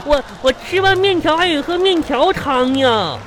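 An actress playing a naive, boastful little child in a live comedy radio drama, rambling in halting, repetitive childish phrases over music. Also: female voice, sits high, expressive, a crowd in the background. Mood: naive, pleased.

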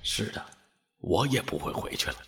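A man's voice: normal speed, soft background music, close to an everyday voice. A man playing an eager, awed dog companion in a radio drama, with an earnest, theatrical delivery. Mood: resolute. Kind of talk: dramatic radio play acting.

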